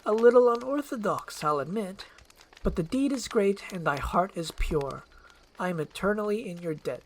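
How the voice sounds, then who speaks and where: A woman's voice: mid-range voice, expressive, normal speed, slight background noise, close to an everyday voice, poor audio. Woman playing a haughty, demanding fairy-tale princess. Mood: grateful, courtly. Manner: imperious, archaic courtly phrasing. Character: haughty, demanding young princess, formal and romantic.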